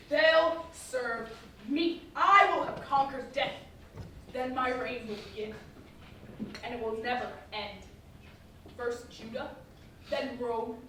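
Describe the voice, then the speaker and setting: Woman playing Judas, a high-voiced grandiose traitor, echoey hall. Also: pushed and bright, heavily acted. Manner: declamatory, self-aggrandizing. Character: Judas, scheming grandiose traitor obsessed with escaping death. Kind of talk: theatrical staged reading monologue. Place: echoey performance hall, distant mic. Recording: echoey hall from far off, faint noise.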